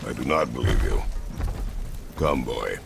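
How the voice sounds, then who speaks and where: An actor playing a stern warrior father in a video game, speaking in terse, commanding sentences with a gravelly voice. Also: a bass voice, expressive, measured pace, over music, full character voice. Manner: terse, commanding, few words.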